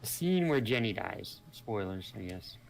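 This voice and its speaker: A man's voice: fairly low pitch, very animated, a little slow, vocal fry, soft background music, rough recording. A man co-hosting a gaming video in casual chat, rambling as he thinks aloud and repeats his phrases.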